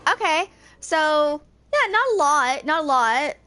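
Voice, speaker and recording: A woman's voice: sits high, expressive, slow pace, pushed and bright. A woman in her twenties chatting casually to viewers as she streams a game, rambling and thinking aloud. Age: 20s. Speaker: streamer playing a video game.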